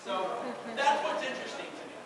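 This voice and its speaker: Male presenter with a fairly high voice and chatty lecture delivery, recorded from far off in a noisy hall. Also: expressive, brisk. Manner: chatty, many filler "uh"s and "right?" checks. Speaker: researcher presenting at a convention panel. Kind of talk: conversational lecture. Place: large convention panel room.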